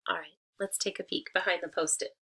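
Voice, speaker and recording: A woman's voice: fairly low pitch, steady tone, a little fast, bright, forceful tone, breathy edge. A woman speaking as a teacher in a read-aloud lesson for young children, addressing them directly and explaining things simply.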